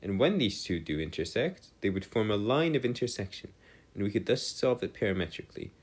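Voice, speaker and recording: A man's voice: lowish voice, very animated, brisk. A man giving an informal maths lecture as a tutor, explaining in formal wording and often correcting himself as he goes. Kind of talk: informal lecture working through equations.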